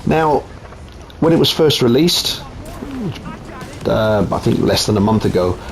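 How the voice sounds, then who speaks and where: A man's voice: medium pitch, measured pace, a little hiss or hum, poor audio. Italian-accented man reviewing a game. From Italy. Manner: relaxed, chatty, frequent ums. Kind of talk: casual gameplay commentary. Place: home.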